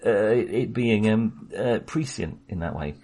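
Give me a man with a low, husky voice chatting hesitantly about a film. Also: lively intonation, measured pace, mellow. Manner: hesitant, many restarts and small laughs. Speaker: podcast co-host. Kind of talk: casual film discussion.

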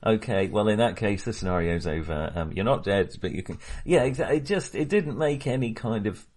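A man with a fairly low voice in a relaxed podcast film discussion. He talks conversationally as a co-host, feeling his way through his phrasing. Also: a little fast.

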